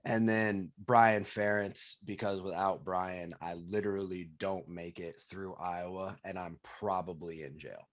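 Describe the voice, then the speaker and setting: Low-voiced man, blunt and profane. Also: fairly even intonation, unhurried, few pauses. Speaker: podcast co-host. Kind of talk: casual chat.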